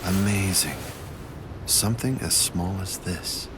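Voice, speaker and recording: A man playing a calm, superior villain in an anime game dub, polite and detached with a very deep voice. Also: takes it slowly, heavy background noise. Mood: cool menace. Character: calm, cold, superior male villain mastermind.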